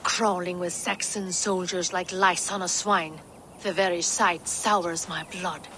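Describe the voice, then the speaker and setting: A woman's voice: lowish voice, fairly even intonation, unhurried, sharp tone, a little hiss or hum. A fierce, blunt Viking warrior woman. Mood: scornful. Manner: blunt, aggressive. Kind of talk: dramatic video game acting.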